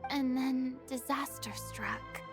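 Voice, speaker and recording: A woman's voice: sits high, steady tone, slow, over music. A woman voicing a proud, theatrical young former stage star in a video game, with formal, dramatic diction. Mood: solemn.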